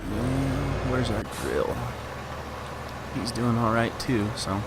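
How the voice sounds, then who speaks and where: A man's voice: medium pitch, slow pace, very noisy. A man with a cold, sniffling and coughing as he plays, casually talking through a farming game and unsure of what he's doing. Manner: easygoing, unsure, thinking aloud. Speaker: gaming channel host. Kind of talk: casual gameplay commentary.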